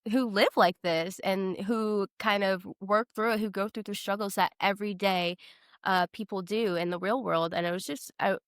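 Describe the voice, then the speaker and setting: A woman's voice: mid-range voice, fairly even intonation, normal speed, clean tone, no echo, clean studio sound. A woman co-hosting a podcast interview in a casual, informal way, often dropping "like" into her sentences. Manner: informal, peppered with "like". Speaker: podcast co-host.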